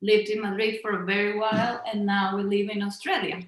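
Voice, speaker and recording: A woman's voice: medium pitch, level tone, fairly quick, roomy sound, rough recording. A bubbly woman from Venezuela introducing herself over a video call. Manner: bubbly, laughing. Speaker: co-founder guest. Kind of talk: casual self-introduction.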